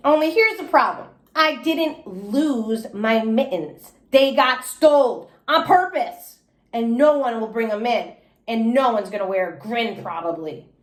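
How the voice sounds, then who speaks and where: A woman's voice: a little high, animated, measured pace. A woman voicing a chatty, dramatic kindergarten girl who talks emphatically in childish grammar for a storybook. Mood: glum. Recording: echoey room.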